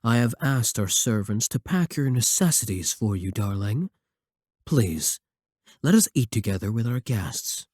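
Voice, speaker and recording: A man playing a courtly, devoted vampire king in a romantic ASMR roleplay, speaking in a measured, formal and gently intimate way. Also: mid-range voice, sing-song, slightly rough, dry recording, clean studio sound. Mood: solemn, loving.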